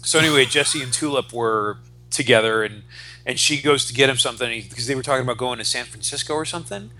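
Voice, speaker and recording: A man in his forties chatting casually and profanely, retelling stories in an animated way as on a podcast. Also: mid-range voice, quick pace, rough recording. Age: 40s. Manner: animated retelling with acted-out quotes. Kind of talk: casual, profane podcast chat about comics.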